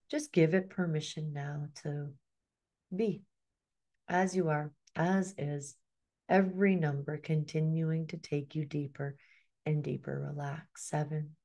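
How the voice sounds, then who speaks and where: A middle-aged woman guiding a hypnosis session in a slow, soothing way, often sinking into a soft whisper. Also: deep voice.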